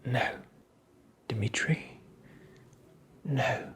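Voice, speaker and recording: A performer voicing a creepy, vain little male imp in a whispered ASMR fairy-tale roleplay, teasing and condescending with drawn-out phrasing. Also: mid-range voice, full character voice. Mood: sly, teasing. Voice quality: breathy whisper.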